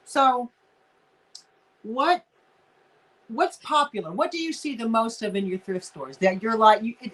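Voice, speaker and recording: A woman's voice: on the high side, a bit bright, rough recording. A woman from Texas with a slight Texas accent, hosting a casual live chat and greeting viewers in a folksy way over a video call. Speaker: livestream host.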